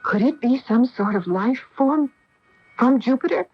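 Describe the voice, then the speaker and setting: A woman's voice: on the high side, lively intonation, unhurried, husky, dry recording. An actress playing an anxious, alert female astronaut in a 1980s radio drama, on a muffled old broadcast tape. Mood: fearful wondering.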